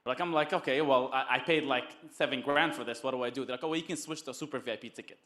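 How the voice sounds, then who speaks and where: Man telling his story in halting bursts. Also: medium pitch, pushed and bright. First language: Arabic. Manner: halting, repeats words, chuckles. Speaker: summit guest, network marketer. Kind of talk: casual testimonial storytelling.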